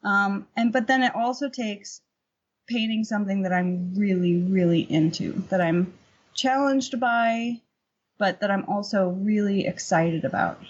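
A middle-aged woman with a husky voice, talking candidly and informally as an interview guest, rambling a little. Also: medium pitch, measured pace, dull audio. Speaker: painter, podcast guest. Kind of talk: casual interview chat.

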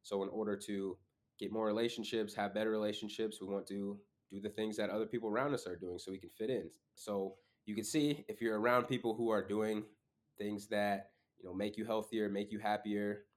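A man's voice: fairly low pitch, steady tone, a little fast. A man with a stuffed-up, sniffly nose hosts a solo podcast, talking casually and conversationally with frequent ums and uhs. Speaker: podcast host.

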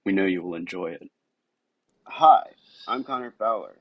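Podcast host, male, slightly deep, no room sound, announcer turns mixed with casual questioning. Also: animated, normal speed, no echo, lo-fi sound. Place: indoors, in person with guest.

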